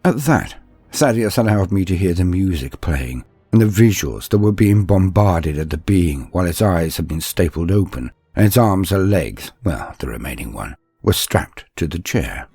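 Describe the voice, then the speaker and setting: A man narrating a scripted dramatic story in the first person, measured and pausing mid-sentence. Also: deep voice, expressive, mellow, slightly rough, no room sound, faint music behind.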